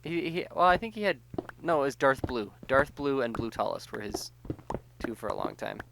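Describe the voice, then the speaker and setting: A man's voice: mid-range voice, expressive, normal speed, vocal fry, background noise. A man co-hosting a gaming session in casual banter, rambling playfully and sometimes breaking into mock singing or silly quotes. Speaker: let's play co-host.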